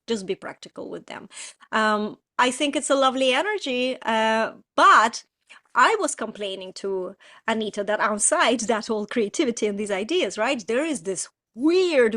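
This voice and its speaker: Russian-accented woman in animated chat. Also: on the high side. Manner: animated, laughs often, restarts mid-sentence. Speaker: podcast co-host, coach. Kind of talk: casual chat.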